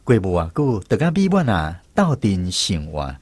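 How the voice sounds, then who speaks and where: A man's voice: deep voice, huge pitch swings, normal speed, a little mellow, breathy edge, no room sound. A man with a Taiwanese accent narrating a radio drama as a scripted storyteller.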